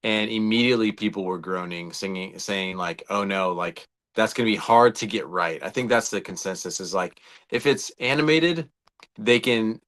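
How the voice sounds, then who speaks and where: Low-voiced man in casual discussion. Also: moderate pace, fairly bright tone. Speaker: co-host of a video game fan show. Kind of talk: casual conversational film discussion.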